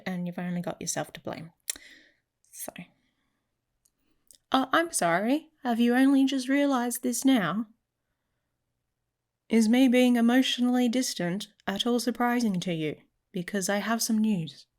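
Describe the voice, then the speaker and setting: A woman playing a guarded, teasing young female werewolf in an intimate ASMR roleplay, with a wry, casual manner and a breathy, husky voice that often drops to a whisper. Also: on the high side, measured pace, long pauses, darkish tone. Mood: teasing, prickly.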